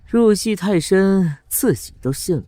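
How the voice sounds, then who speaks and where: An actor playing a scornful, sneering onlooker in a Chinese historical comic drama. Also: male voice, high voice, animated, a little slow, dark tone, no room sound. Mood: incredulous, scornful.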